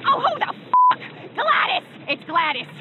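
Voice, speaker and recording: A woman's voice: very high-pitched, wildly swooping pitch, a little slow, heavily acted. A woman playing a frantic, foul-mouthed older woman calling emergency services in a comic horror audio skit, over a phone line. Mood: panicked. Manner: frantic, exclaiming, breaking off and repeating words. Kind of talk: comedy audio horror parody. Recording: dull, very noisy emergency phone call.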